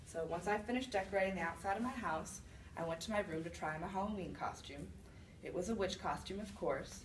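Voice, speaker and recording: A woman's voice: fairly low pitch, lightly acted. Woman playing an indignant old widow, roomy recording. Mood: explaining, aggrieved. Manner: conversational, self-justifying, with halting restarts. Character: indignant old widow accused of being a witch. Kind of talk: first-person storytelling monologue. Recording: roomy, distant mic with faint noise.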